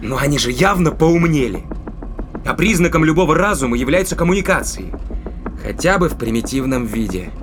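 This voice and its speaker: A man's voice: on the high side, expressive, brisk, noisy background, close to an everyday voice. A man playing an inquisitive spaceship captain in a science fiction audio drama, commanding and thinking aloud. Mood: probing.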